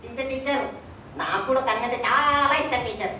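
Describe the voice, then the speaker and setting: A woman's voice: high-pitched, a little fast, sharp tone. A woman voicing a cheeky, teasing little puppet boy in a children's show, with an eager, mischievous, childlike way of talking. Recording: echoey, very noisy hall, distant sound.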